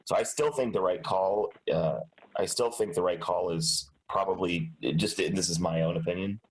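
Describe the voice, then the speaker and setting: Deep-voiced man, stuffed up. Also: moderate pace, rough recording. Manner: rambling, many restarts and hedges. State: stuffed up, light cold. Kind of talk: casual meeting discussion. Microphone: video call.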